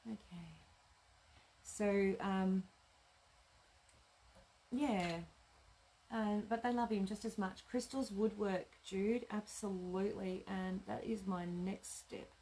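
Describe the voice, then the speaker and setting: A woman's voice: medium pitch, fairly even intonation, slow, pauses often, mellow, a little hiss or hum, poor audio. A woman hosting a live craft demonstration from home, chatting and muttering to herself as she sews and answering viewer comments. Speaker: craft shop owner demonstrating sewing. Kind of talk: live-streamed craft demo chat. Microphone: tablet streaming live.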